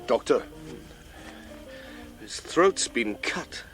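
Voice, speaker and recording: A man's voice: mid-range voice, expressive, very slow, over loud music. An actor playing a brusque, commanding man in a radio drama, with a curt, dramatic manner. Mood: grave, urgent.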